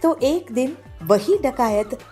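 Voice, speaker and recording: A woman's voice: high-pitched, lively intonation, slow pace, dark tone. A woman storyteller giving scripted narration for a cartoon moral story. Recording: music behind the voice.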